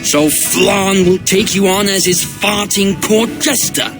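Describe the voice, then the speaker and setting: An actor playing a cocky, hot-headed young Viking prince with a brash manner in a video game. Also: male voice, high-pitched, steady tone, slow, over music. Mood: taunting. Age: young adult. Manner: taunting, brash. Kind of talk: dramatic video game cutscene acting.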